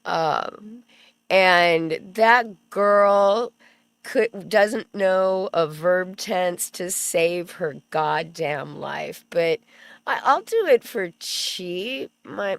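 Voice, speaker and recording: A woman hosting a casual commentary stream, speaking slowly in a lowish voice. She is chatty and sarcastic, and pauses to read legal text aloud. Her voice sounds dry and close, with no room echo. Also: smooth. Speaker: commentary channel host.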